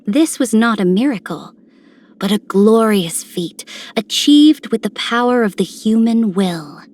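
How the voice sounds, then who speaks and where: A woman's voice: a little high, expressive, unhurried, darkish tone. A woman playing an imperious military commander in a video game, with grand, formal, declamatory speech. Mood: grand admiration. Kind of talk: scripted game character voicelines.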